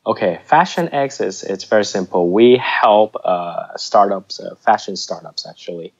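Low deep guy from hong kong, kinda american accent, lots of uh and restarts, dull muffled audio. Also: expressive, moderate pace, breathy edge. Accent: American-tinged English. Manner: hesitant, many fillers and restarts. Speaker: guest, startup co-founder. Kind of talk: casual interview chat.

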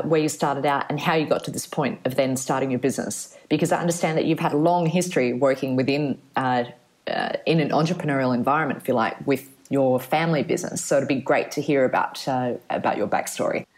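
A woman hosting a podcast interview, asking questions in a friendly, measured way. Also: deep voice, a little mellow. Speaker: podcast host.

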